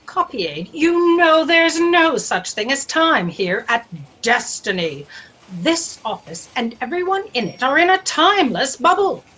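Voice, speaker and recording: A woman's voice: high-pitched, big pitch swings, a little slow, bright, forceful tone, heavily acted, poor audio. A woman playing an imperious, exasperated boss of a cosmic office in a comedic audio drama, speaking in haughty declarations. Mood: lofty.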